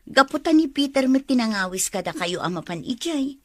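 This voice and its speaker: An actress playing an agreeable young daughter with an expressive, coaxing manner in a radio drama. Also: female voice, high-pitched, fairly quick, dry recording. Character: agreeable young woman persuading her mother.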